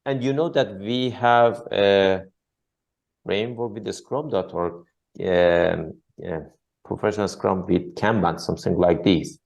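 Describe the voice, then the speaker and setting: Man, Persian first language, lowish voice, interview host, brief replies. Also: steady tone, a little slow, gravelly, darkish tone, low-quality recording. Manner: short questions, brief agreeing responses. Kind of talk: casual interview.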